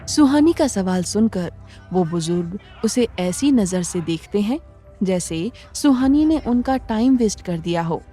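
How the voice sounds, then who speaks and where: Woman, story narrator, mellow timbre, medium pitch, scripted dramatic delivery, faint background music.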